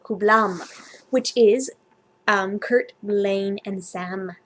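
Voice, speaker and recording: A woman's voice: mid-range voice, extremely slow, a bit bright, rough recording. A woman vlogger chatting casually and reading a story aloud informally, sometimes stumbling over her words.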